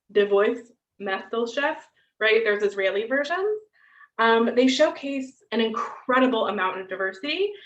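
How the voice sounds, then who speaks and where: Bright-voiced woman lecturing over a video call. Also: a little high. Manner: conversational, frequent "um" and "right?" tags. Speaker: guest lecturer. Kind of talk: online lecture with Q&A. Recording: distant, roomy, dull video-call audio.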